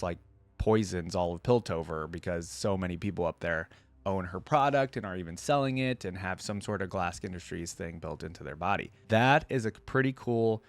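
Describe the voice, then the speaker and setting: A man's voice: lowish voice, no room sound. A man hosting a reaction video, reading lore aloud and musing over it in a casual, conversational way.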